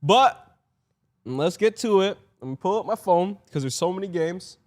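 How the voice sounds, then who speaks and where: A man's voice: high-pitched, animated, moderate pace, darkish tone. A man from Canada whose first language is Cantonese, hosting a podcast and running its segments in joking casual chat. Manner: runs the segments, joking and swearing. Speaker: podcast host.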